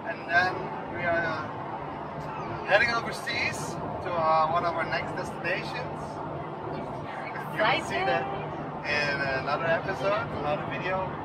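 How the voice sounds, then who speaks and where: A man's voice: high voice, animated, moderate pace, a loud crowd. A man in his thirties hosting a travel vlog, teasing and laughing often while driving a camper van. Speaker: vlog host. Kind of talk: casual travel vlog chat. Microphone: camera on dashboard. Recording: road and engine noise. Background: loud road noise.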